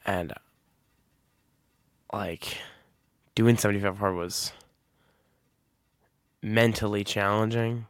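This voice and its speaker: Twenty-something guy podcasting alone, rambly and laid back, a bit of vocal fry. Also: fairly low pitch, fairly even intonation, slow, long pauses, no room sound, clean studio sound. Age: 20s. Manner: rambling, casual, self-correcting. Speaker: podcast host. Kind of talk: reflective solo podcast monologue.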